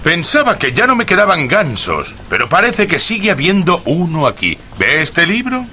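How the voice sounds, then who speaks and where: Casting: surly market poultry seller, radio drama; highish, wildly swinging voice, brusque and hot-tempered. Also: male voice, wildly swooping pitch, normal speed, bright, forceful tone, a little hiss or hum, full character voice. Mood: smug, challenging. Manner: brusque, blustering. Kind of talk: dubbed radio drama acting.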